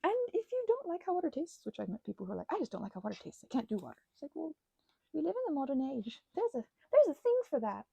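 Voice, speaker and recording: A woman giving an unscripted ASMR-style craft ramble as host, halting and self-correcting in an earnest way, close to the mic. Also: high voice, wildly swooping pitch, creaky, mellow, no room sound.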